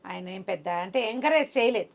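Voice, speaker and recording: A woman's voice: mid-range voice, animated, fairly quick, vocal fry, muffled recording. A woman tells a folk tale conversationally to a listener, voicing the characters' dialogue as she goes. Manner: acts out characters' dialogue within the narration. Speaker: storyteller.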